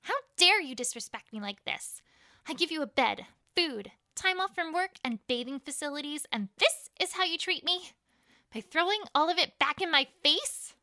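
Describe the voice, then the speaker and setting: A woman voicing a cruel, commanding pony queen with a quick temper in a fan fiction audio drama. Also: high-pitched, lively intonation, pushed and bright, no room sound, clean studio sound, voice pushed up, exaggerated voice. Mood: furious. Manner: commanding, scornful.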